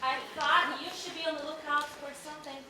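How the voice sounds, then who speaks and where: A woman's voice: high voice, fairly even intonation, a little fast, close to an everyday voice. A woman playing a sly, fawning wife, sugary and insinuating in a live stage play. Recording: echoey theater from far off, noisy.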